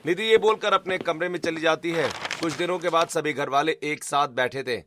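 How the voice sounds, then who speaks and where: A man's voice: on the high side, a little fast, background noise. A man giving scripted narration for a cartoon family story.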